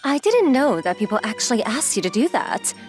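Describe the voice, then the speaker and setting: Young female model, anime dub, played by a woman: breezy, teasing, forward, on the high side with big animated swoops. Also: a little fast. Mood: easygoing, explanatory. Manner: forward, playful, casually inquisitive. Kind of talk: anime-style dub acting. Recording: music behind the voice.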